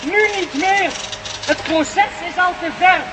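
A very high, cool alien voice, formal and precise, speaking as the last of his kind, as a man acts him out. The old radio play recording carries a clear hiss underneath. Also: slow pace. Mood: detached. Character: calm alien survivor, last of his race.

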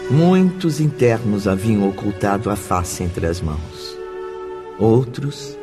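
A man narrating a spiritual tale in a radio drama in a grave, literary manner. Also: fairly low pitch. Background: faint background music.

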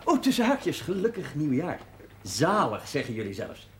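A man's voice: a little high, huge pitch swings, normal speed. A man playing a brash, bantering husband and proud young father in a naturalistic television drama. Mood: jovial. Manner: boisterous, bantering, slangy. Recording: roomy, noisy old television drama soundtrack.

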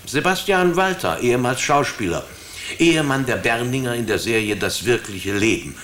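An actor playing a dry, sarcastic police chief inspector in a radio drama, businesslike and ironic, speaking in clipped phrases. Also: male voice, medium pitch, lively intonation, normal speed, fairly bright tone, a little hiss or hum, natural voice. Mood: stern, ironic.

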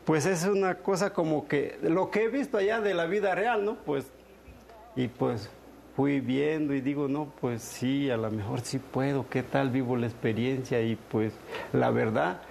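A man's voice: medium pitch, steady tone, unhurried, darkish tone. A middle-aged man with a coastal Mexican accent talks casually in an interview, in a hesitant, humble and unpolished way. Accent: coastal Mexican Spanish. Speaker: interview guest, farmer and non-professional film actor. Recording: clear background noise.

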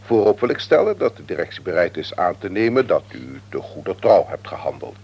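An actor playing a stern, pompous company executive in a radio play, with officious, formal phrasing. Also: male voice, low-pitched, big pitch swings, brisk, a little mellow, breathy edge, full character voice. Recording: old radio play recording.

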